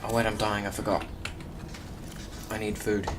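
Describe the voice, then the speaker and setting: A man's voice: lowish voice, completely flat delivery, normal speed, over music. A man doing casual gameplay commentary, muttering to himself and reading game text aloud with occasional stumbles. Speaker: video game player. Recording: clearly noisy recording with background hum.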